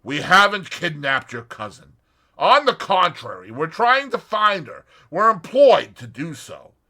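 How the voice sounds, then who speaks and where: Brief: man playing a brisk, cheery young fellow, raised and bright, lively. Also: fairly high pitch, vocal fry, breathy edge, pitched above the performer's own voice, heavily acted. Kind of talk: audiobook character voice.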